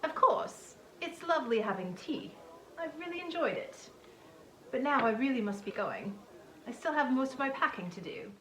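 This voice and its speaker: Demure, guarded gentlewoman played by a woman, bright and high-voiced, for a novel dramatization in an echoey room. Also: very animated, normal speed, a little hiss or hum, full character voice. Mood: polite, guarded. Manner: polite, guarded. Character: demure, reserved gentlewoman, politely hesitant.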